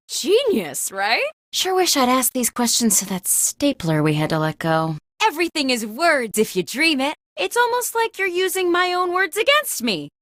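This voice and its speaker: Chipper female boss, insecure, theatrical, high-leaning pitch, big swoops, video game host. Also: fairly bright tone, no echo, studio quality. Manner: peppy, over-eager, theatrical delivery with sudden swoops. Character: chipper, insecure, scatterbrained office boss hosting job interviews.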